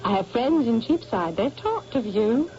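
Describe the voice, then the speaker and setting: A woman's voice: on the high side, big pitch swings, unhurried, gravelly, darkish tone, exaggerated voice. An actress voicing a cheeky young Cockney woman who works as an artist's model, talking bluntly and saucily, in a 1970s radio drama. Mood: saucy. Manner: blunt, saucy, drops aitches and says "me". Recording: dull old radio broadcast with faint hiss.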